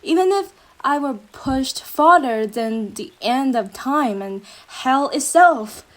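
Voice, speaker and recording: Female actor as yandere girlfriend for roleplay audio: higher-pitched, unhurried, cooing, possessive, sweetness with menace underneath. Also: expressive. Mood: manic devotion. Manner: slow, cooing endearments, unhinged sweetness. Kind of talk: roleplay audio drama, intimate monologue addressed to listener.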